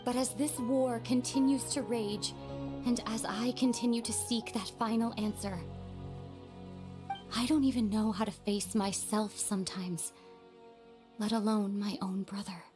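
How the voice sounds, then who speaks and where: A woman playing a grave, sorrowful young sister in a video game, speaking in a measured, solemn way hardened by long war. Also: a little high, fairly even intonation, close to an everyday voice. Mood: wistful, sorrowful. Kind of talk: dramatic video game voice acting. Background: game music.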